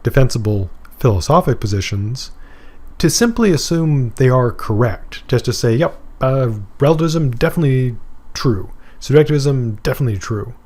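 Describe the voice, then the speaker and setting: A middle-aged man from Maine lectures on philosophy at a mid pitch. He talks in a loose, conversational way and stops for examples, fillers and asides. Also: a little mellow. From Maine, USA. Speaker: philosophy professor. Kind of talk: recorded course lecture.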